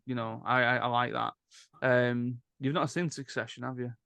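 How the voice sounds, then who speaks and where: A man's voice: medium pitch, steady tone, normal speed, creaky, bright, forceful tone, clear, resonant tone, no echo. A man hosting a casual podcast discussion in a conversational way. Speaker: podcast host. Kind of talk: casual chat.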